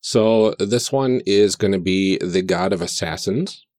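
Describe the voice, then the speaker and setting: A man's voice: low voice, unhurried, darkish tone, dry recording. A man playing a tabletop game for the first time, talking hesitantly with many fillers as he builds his character. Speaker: player. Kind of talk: casual tabletop chat.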